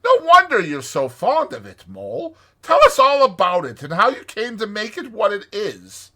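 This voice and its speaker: A man's voice: high-pitched, wildly swooping pitch, creaky, bright, forceful tone, pitched above the performer's own voice, full character voice. A man voicing a hearty, bossy, cheerful rat in a storybook, with a chummy, exclamatory manner. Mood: jolly, teasing. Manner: exclamatory, brisk old-chap chumminess.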